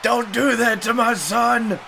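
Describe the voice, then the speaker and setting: A man's voice: very high-pitched, steady tone, slow pace, creaky, bright, forceful tone, a little hiss or hum. A man giving comic color commentary on wrestling as a co-host, wry and mock-dramatic in manner.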